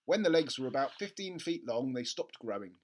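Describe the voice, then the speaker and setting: A storyteller reading a children's book aloud in an expressive narrating style. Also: mid-range voice, a little fast. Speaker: storybook reader.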